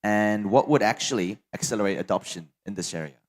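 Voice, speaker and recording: Male moderator, lower voice, friendly upbeat question-asker. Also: brisk. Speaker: panel moderator. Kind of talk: moderating a panel.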